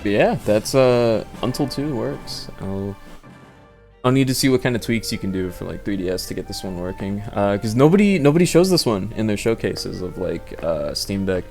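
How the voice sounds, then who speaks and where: Low-pitched male gaming creator, off-the-cuff and rambling, thinking aloud over background music. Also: expressive, normal speed, gravelly, a little mellow. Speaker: video creator demonstrating handheld gaming. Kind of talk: unscripted casual gaming showcase commentary.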